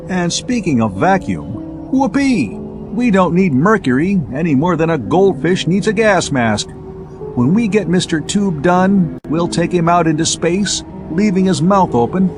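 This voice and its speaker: Guy doing a brainy pulp sci-fi spaceman, eager and slangy, pitch jumping around, music behind. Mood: excited. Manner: technical explaining mixed with breezy slang. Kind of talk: dramatized pulp science fiction audiobook.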